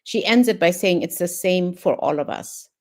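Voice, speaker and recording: A middle-aged woman from Ethiopia, a panel guest telling her personal story in a thoughtful way, pausing often with ums and restarts. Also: lowish voice, a little mellow.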